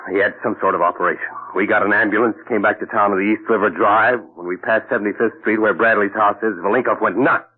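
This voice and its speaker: Blunt tough sidekick, low husky voice, tinny old radio. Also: male voice, fairly even intonation, fast talker, hardly any pauses. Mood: excited. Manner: blunt, breathless reporting. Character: brash, tough male police sidekick. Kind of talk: 1940s radio acting.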